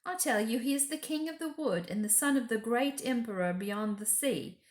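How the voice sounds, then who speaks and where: A woman's voice: fairly high pitch, moderate pace, voice pushed up, close to an everyday voice. An earnest storybook beaver, acted out by a woman, a male creature who talks in a folksy, emphatic way full of reverence. The room echoes around the voice. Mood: emphatic, reverent. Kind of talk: children's storybook character voice.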